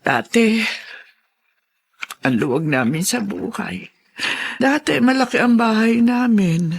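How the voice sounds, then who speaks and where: An actress playing an older wife, fallen from comfort and unwell, who speaks in a worried, plaintive way. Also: female voice, medium pitch, animated, slow pace, gravelly, husky, exaggerated voice. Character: older wife, once well-off, now poor and ailing.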